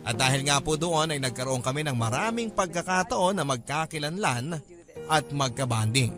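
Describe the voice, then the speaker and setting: A man narrating a confiding first-person love story for radio in a measured, polite manner. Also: on the high side, natural voice. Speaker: narrator reading a listener's love letter. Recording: clear music bed behind narration.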